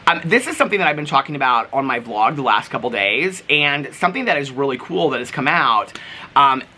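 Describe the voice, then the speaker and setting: A man talking casually to viewers as a vlogger, in an animated, rambling, gushing way. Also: medium pitch, brisk. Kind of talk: casual, chatty vlog talk to viewers.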